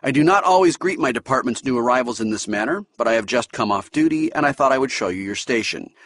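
A man voicing a stiff, formal senior male science officer who speaks in measured, precise phrases. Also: medium pitch, expressive, fairly bright tone, dry recording, pitched above the performer's own voice.

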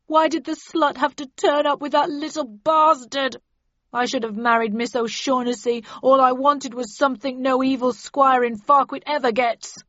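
A woman's voice: medium pitch, measured pace, few pauses, breathy edge, no room sound, highs cut off. A woman voicing a spiteful, self-pitying male villain, a squire given to anguished outbursts in an audiobook. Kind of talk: audiobook character acting.

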